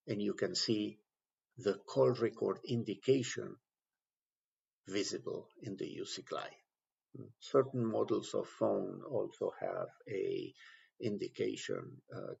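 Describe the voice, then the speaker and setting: A man with a low, very gravelly voice walks through a software task step by step. He explains in short, halting phrases, as an instructor would. The recording is somewhat dull and lo-fi. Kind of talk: step-by-step software screen tutorial.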